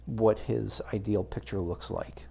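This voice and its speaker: A man's voice: fairly low pitch, steady tone, measured pace, gravelly, darkish tone, breathy edge. A man giving casual video game playthrough commentary, hesitantly thinking aloud as he goes. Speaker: gaming video host.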